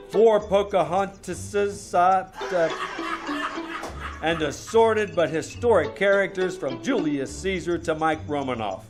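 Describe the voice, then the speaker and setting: A man playing a wry, wisecracking private detective, dryly narrating and talking in an old-time radio comedy. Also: sits high. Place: live small-town theater stage.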